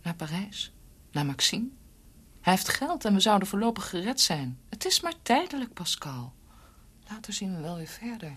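A woman's voice: mid-range voice, sing-song, normal speed, a bit bright, slightly rough. An actress playing a tender, devoted and anxious young woman in a Dutch radio drama. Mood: worried.

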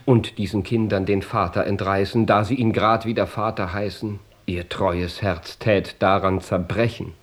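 An actor playing an earnest, persuasive medieval count and loyal husband in a rhymed radio play, speaking in a measured way. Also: male voice, fairly low pitch, fairly even intonation, close to an everyday voice. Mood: pained, pleading.

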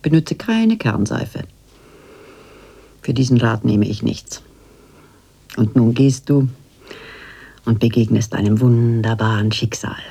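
An actress playing a worldly older fortune-teller in a radio drama, cooing endearments and making theatrical, oracular pronouncements in a breathy, husky voice. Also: female voice, extremely low pitch, mellow, full character voice. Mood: brisk, self-assured. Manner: slow, insinuating, oracular, cloying endearments.

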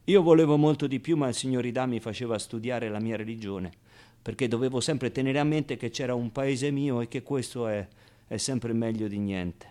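A man's voice: mid-range voice, normal speed, mellow, lightly acted. A man playing a naive, streetwise ten-year-old orphan boy in a colloquial theatrical radio monologue. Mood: wistful, confiding. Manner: colloquial, ungrammatical, with interjections and rhetorical asides.

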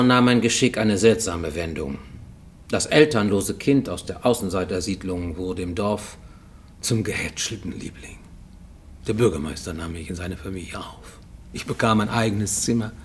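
An actor playing a grown man, orphaned as a child, who recounts his past in a measured radio-play monologue with dramatic pauses. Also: lowish voice, lively intonation, husky. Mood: confiding, wry. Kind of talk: literary first-person monologue in a radio play. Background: music.

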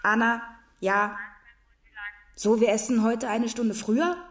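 Breezy young girl, highish voice. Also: fairly even intonation, slow, noisy background. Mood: chatty, animated. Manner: chatty, bossy, carefree. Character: breezy, self-satisfied nineteen-year-old well-off Berlin girl. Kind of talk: radio drama acting.

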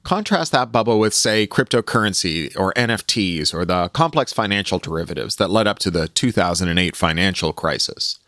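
A man hosting a podcast, reading a scripted opinion column aloud in an articulate, measured and emphatic way. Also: deep voice, animated, pushed and bright, no echo. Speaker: podcast host reading own magazine column.

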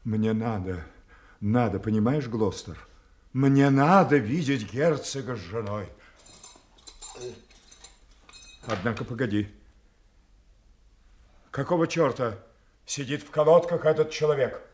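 A man's voice: medium pitch, lively intonation, unhurried, long pauses, roomy sound, lightly acted. An older man acting a proud, wrathful, wounded old king and father in a theatrical, declamatory classical tragedy style. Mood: impatient, commanding. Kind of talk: classical stage tragedy, audio play acting.

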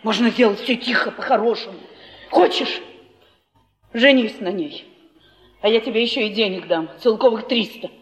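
An actress playing a scorned, scheming, passionate wife in an intense, theatrical Russian stage drama, with a pleading delivery. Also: female voice, fairly high pitch, normal speed, pauses often, soft background music, close to an everyday voice. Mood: scheming, urgent. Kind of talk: Soviet 1950s-70s stage drama acting.

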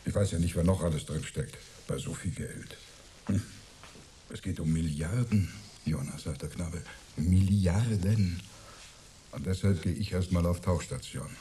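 Sly, deep, dark-voiced crook. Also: male voice, slight background noise. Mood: secretive, uneasy. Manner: wheedling, smug, drawn-out words. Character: sly, chummy shady old acquaintance in hiding. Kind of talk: German sci-fi crime radio play acting.

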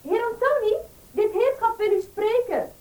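An actress playing a brisk, bossy, matchmaking woman of the household in a radio play, speaking directly and excitably. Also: very high-pitched, slight background noise, low-quality recording. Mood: brisk, obliging. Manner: lively, exclamatory, direct. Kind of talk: Dutch radio play acting.

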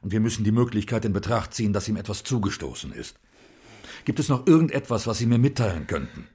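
A stern, methodical police inspector, questioning in a slow, formal way. His voice sits low and slightly husky. He weighs every word. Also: expressive, mellow. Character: stern male police inspector, measured and probing. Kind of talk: audio drama acting.

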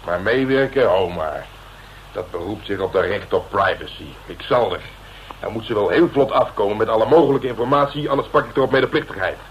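An actor as a gruff veteran police detective, muffled radio play. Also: male voice, on the low side, fairly quick, natural voice. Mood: scornful. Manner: slangy, blunt, domineering. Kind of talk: Dutch radio drama acting, colloquial dialogue. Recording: dull, noisy old radio recording.

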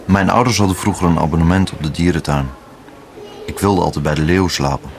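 An actor playing a wistful man clinging to his former lover, gentle and musing, in a naturalistic radio drama. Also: low voice, a little fast, background noise.

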